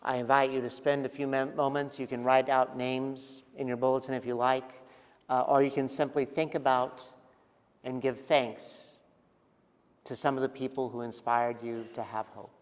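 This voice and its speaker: A man preaching a sermon as pastor, speaking gently and reflectively with pauses and soft trailing endings. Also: mid-range voice, flat delivery, smooth. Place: large church sanctuary. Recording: long church reverb.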